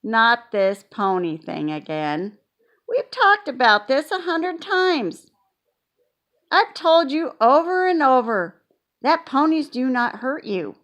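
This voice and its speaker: A woman's voice: high-pitched, big pitch swings, takes it slowly, fairly bright tone, pitched above the performer's own voice, heavily acted. A woman reading an audiobook and voicing a tired, exasperated mother scolding her little daughter. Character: weary, exasperated adult mother. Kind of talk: children's audiobook character voice.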